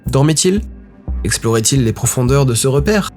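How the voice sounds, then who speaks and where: Man, mid-pitched voice, dramatic story narration, brooding, suspenseful, short phrases, music behind. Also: expressive, moderate pace, darkish tone.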